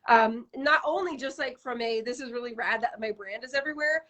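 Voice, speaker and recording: A woman's voice: fairly high pitch, fairly quick, fairly bright tone. A woman from Canada in her thirties, a brand founder chatting in an interview over a video call, bubbly and full of "like" fillers. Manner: bubbly, gushing, many "like" fillers. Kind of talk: casual interview chat.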